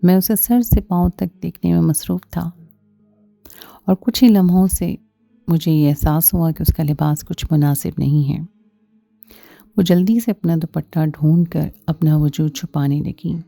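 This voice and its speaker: A woman's voice: low voice, fairly even intonation, measured pace, creaky, dark tone, dry recording. A woman reading a scripted first-person story as its narrator, with music behind her.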